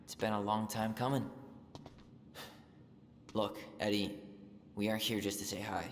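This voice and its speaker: A man's voice: on the low side, steady tone, unhurried, slight background noise, close to an everyday voice. Blunt young man in reverberant game scene acted. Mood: guarded, dry. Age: young adult. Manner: terse, sardonic, casual. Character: blunt, resentful young man, wronged brother. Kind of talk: naturalistic video game acting.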